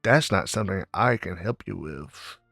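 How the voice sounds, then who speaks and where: A man doing a let's play, reading game dialogue aloud somewhat haltingly. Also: low-pitched, lively intonation, vocal fry, fairly bright tone, faint music behind. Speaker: gamer reading the player character's lines.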